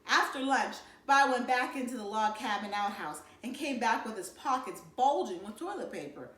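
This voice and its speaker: A woman reading a children's novel aloud in an expressive storytelling style. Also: fairly high pitch, moderate pace, reverberant. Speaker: storybook reader.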